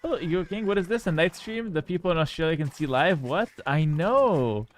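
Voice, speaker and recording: A man's voice: fairly high pitch, expressive, brisk, slight background noise. A man from Canada, chatting casually on a live stream while playing a game, joking, swearing freely and reading viewers' messages aloud. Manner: laid-back, joking, swears casually, reads chat aloud.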